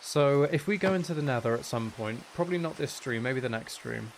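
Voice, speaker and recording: A man's voice: medium pitch, expressive, a little fast, slight background noise. A man streaming a game, chatting casually in a rambling, hesitant way as he thinks aloud. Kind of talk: casual chatty gaming livestream commentary.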